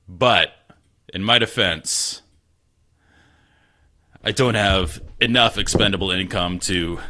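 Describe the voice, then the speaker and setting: A man streaming games from a small spare room at home, chatting casually and self-deprecatingly with viewers. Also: sharp tone. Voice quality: low voice. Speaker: gaming streamer. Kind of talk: casual live-stream chat. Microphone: desk mic. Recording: slightly tinny home stream mic, faint noise.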